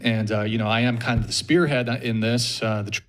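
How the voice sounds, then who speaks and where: A man hosting a podcast, running through show announcements briskly with deadpan jokes. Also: lowish voice. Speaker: podcast host. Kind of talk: rapid-fire show announcements.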